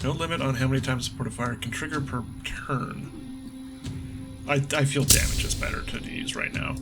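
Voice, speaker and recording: A man giving casual, jokey let's play commentary, thinking aloud as he reads game dialogue. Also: mid-range voice. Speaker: gaming video host.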